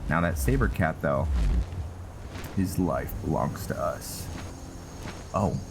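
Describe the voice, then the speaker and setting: A man's voice: very deep voice, expressive, takes it slowly. A man giving casual commentary on a roleplay game, rambling and thinking aloud as he plays. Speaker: gaming channel host. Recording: clearly noisy background.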